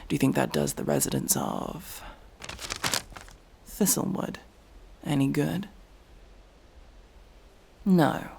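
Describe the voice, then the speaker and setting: A man's voice: fairly high pitch, creaky, breathy edge, full character voice. A man playing an aloof, prickly elf mercenary in a fantasy ASMR roleplay, speaking in a curt, sardonic, exasperated manner. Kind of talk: ASMR fantasy roleplay, acted monologue to listener.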